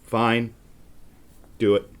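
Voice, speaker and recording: A man's voice: medium pitch, little pitch movement, drawn-out words, slight background noise. A man acting a slick, boastful old-west traveling salesman with grandiose, genteel sales patter in an audio drama. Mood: sour.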